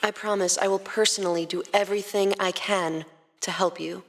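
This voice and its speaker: Soft, controlled woman doctor, acted out by a woman. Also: mid-range voice, steady tone, moderate pace, lightly acted. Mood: coolly reassuring. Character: calm, coolly professional female doctor soothing a child. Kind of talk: scripted audio fiction acting.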